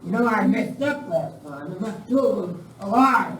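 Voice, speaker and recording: A man's voice: high-pitched, lively intonation, normal speed. An amateur actor playing a ruthless, bumbling outlaw henchman in a live radio western. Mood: menacing, conspiring. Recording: echoey room, distant, noisy.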